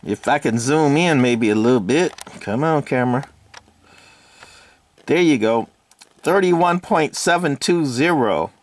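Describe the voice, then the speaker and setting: Relaxed man explaining radio parts. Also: medium pitch, unhurried, pauses often. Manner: relaxed, explanatory, many fillers, talks to the parts. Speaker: radio repair hobbyist host. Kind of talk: casual hands-on equipment walkthrough. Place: workbench, indoors.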